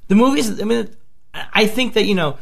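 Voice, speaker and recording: A man on a podcast, hoarse from a flu, talking excitedly and swearing often as he raves about movies. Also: high voice, brisk. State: a few bourbons in. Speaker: podcast host. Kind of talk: casual movie talk.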